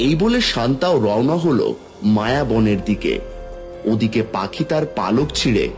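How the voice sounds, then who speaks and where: A man's voice: mid-range voice, animated, moderate pace. A man reading scripted fairy tale narration for a cartoon. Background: background music.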